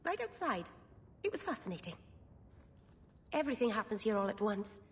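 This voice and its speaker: An actress playing a naive young elf in a fantasy game, chatting in a guileless, wondering way. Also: female voice, sing-song, normal speed, lightly acted. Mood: wide-eyed wonder. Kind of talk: cinematic fantasy game acting. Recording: faint rumbling noise behind.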